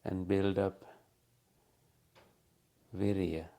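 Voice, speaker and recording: A man leading a guided meditation as a teacher, speaking calmly and unhurriedly with long pauses. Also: sits low, creaky.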